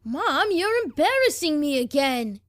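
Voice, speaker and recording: An excitable little boy, acted by a woman in an audio play, high and very animated. He is bashful and easily embarrassed, recorded close and dry. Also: a little slow, no echo. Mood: indignant, eager. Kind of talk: fan audio drama acting.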